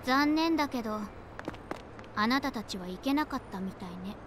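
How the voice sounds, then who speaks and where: A woman playing a composed, mysterious young guide in a game cutscene, measured and matter-of-fact. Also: on the high side, lively intonation, background noise, lightly acted. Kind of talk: animated game cutscene acting.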